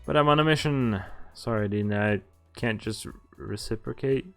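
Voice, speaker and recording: A man's voice: on the low side, normal speed, gravelly, fairly bright tone. A man reading a visual novel aloud as a streamer, casually, stumbling now and then and dropping in asides. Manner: stumbles over read lines, interjects asides. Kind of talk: casual playthrough read-aloud commentary. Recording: music behind the voice. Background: game music.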